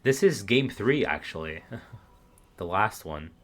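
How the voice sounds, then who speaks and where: A man casually commentating a live esports match on his stream, relaxed and laughing often. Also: lowish voice, unhurried.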